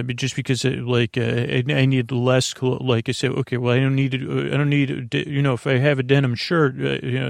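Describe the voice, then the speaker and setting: Creaky-voiced man rambling at bedtime. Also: dry recording, studio quality. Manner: meandering, halting, trails off and circles back; reassuring, low-pressure, addresses the listener directly. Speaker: host of a bedtime sleep podcast. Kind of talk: rambling bedtime monologue to help listeners fall asleep.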